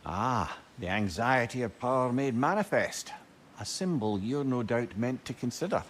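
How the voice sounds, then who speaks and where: An actor playing a wry, talkative old adviser, wordy and philosophical, in a video game. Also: male voice, medium pitch, expressive, moderate pace, slight background noise, lightly acted. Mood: reflective, advising. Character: wry, talkative, counselling old male companion. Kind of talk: dramatic video game acting.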